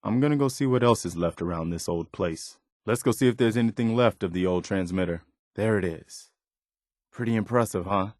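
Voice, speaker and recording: A man acting as a dutiful militia officer in a video game. His voice is on the low side and recorded dry and close. He speaks plainly and earnestly, like a loyal idealist who believes in the cause. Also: a little mellow, dry recording, lightly acted. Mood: earnest, hopeful. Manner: earnest, measured, plain-spoken. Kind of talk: video game character dialogue.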